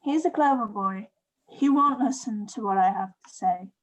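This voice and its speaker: A woman's voice: medium pitch, moderate pace, lightly acted, low-quality recording. A woman acting in a radio play as a kindly, fretful innkeeper, polite and earnest. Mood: anxious, curious.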